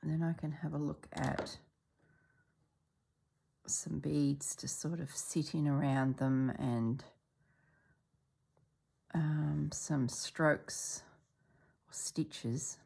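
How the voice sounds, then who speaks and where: Woman, from Australia, low voice, unhurried, slightly congested, casual stitching chat. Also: creaky, husky. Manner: chatty, relaxed, drops into soft murmurs while working. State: congested, clearing throat. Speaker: stitching vlogger.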